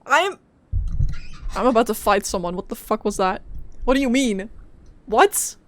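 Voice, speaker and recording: High-voiced young woman streaming a game. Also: animated, a little slow. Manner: playful, slangy, reactive. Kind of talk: casual live gameplay reaction.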